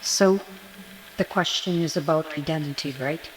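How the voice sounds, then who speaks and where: A woman's voice: sits low, moderate pace, slight background noise. Impassioned older woman in an echoing hall. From Kitigan Zibi, Algonquin First Nation community in Quebec. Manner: impassioned, repeats words for emphasis. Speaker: forum panelist. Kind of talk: impassioned personal testimony at a panel. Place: conference hall. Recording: reverberant hall sound.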